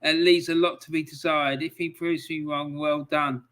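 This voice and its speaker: Man hosting a podcast, chatty and easygoing, bright voice, fairly high pitch. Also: little pitch movement, normal speed. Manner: chatty, laughs often, filler-heavy. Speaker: podcast host. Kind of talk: casual interview, reading viewer comments.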